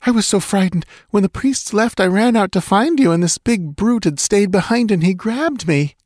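A man's voice: high-pitched, lively intonation, moderate pace, darkish tone, no room sound, studio quality, voice pushed up. A man voicing a frightened, pleading young woman in an audiobook adventure story, with a whimpering, breathless delivery. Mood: flustered, anxious. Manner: whimpering, gasping, stammering.

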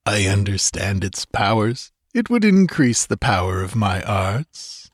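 A man's voice: fairly low pitch, very animated, a little slow, slightly rough, no room sound, clean studio sound, heavily acted. A man voicing a cruel, lecherous sorcerer-priest in an audiobook story, sardonic and purring with false courtesy. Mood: taunting. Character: cruel, mocking, smugly lecherous male sorcerer-priest villain.

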